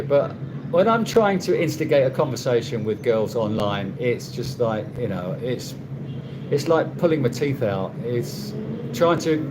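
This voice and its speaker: A man casually answering viewers' questions on a live stream, rambling and halting with many restarts and a dry, wry humor. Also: mid-range voice, noisy background. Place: condo room.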